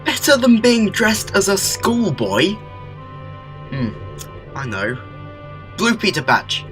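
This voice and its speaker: A man's voice: high-pitched, faint music behind, exaggerated voice. A man playing a whimsical, upbeat alien time traveller with a playful manner in a fan audio drama. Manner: playful, animated, quick shifts.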